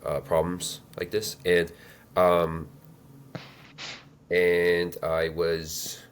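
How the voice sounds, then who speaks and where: Very low-voiced man in his thirties. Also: completely flat delivery, a little hiss or hum. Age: 30s. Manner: halting, many fillers and restarts. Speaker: interview guest. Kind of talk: reflective personal storytelling.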